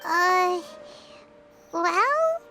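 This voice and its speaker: A woman voicing a small, childlike fairy companion in a video game, girlish, squeaky and excitable. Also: extremely slow, soft background music, exaggerated voice. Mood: hesitant, surprised.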